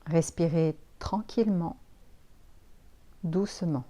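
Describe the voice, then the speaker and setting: A woman leading a guided yoga relaxation as an instructor, giving calm, soothing instructions with pauses. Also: fairly low pitch.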